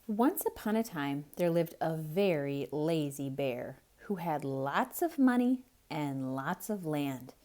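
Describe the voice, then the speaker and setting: A woman telling a story to children, reading a picture book aloud in a theatrical way. Her delivery is slow and unhurried. Her pitch rises and falls a lot, and she stops now and then to ask questions. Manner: expressive, asking the listener questions. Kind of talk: theatrical read-aloud for kids.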